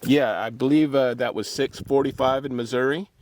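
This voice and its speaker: A man working a CB radio from a Jeep in the desert, calling out and chatting in a relaxed, hesitant way with many pauses and fillers. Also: medium pitch, a little hiss or hum. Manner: relaxed, hesitant, lots of uh's. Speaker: CB radio operator. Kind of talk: CB radio calls and chat.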